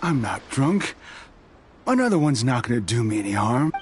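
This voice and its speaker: A man's voice: mid-range voice, big pitch swings, moderate pace, noisy background, close to an everyday voice. A man playing a proud, embittered resistance soldier in an anime video game, speaking with defiant, impassioned bravado. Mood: tipsy, surprised. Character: embittered, hot-headed male resistance soldier, proud warrior.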